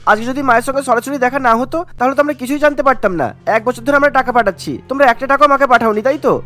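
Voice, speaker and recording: A man's voice: very high-pitched, a bit bright. A man voicing an indignant, devoted son in a cartoon, sternly scolding. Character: indignant adult son, devoted to his mother.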